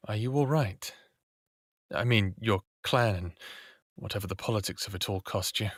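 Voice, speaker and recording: A man playing a devoted, smart-mouthed human in his late thirties in an audio drama, with a soft, breathy, intimate delivery. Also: lowish voice, moderate pace, no room sound, studio quality, lightly acted. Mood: concerned. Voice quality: breathy, husky. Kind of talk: audio drama acting with first-person narration.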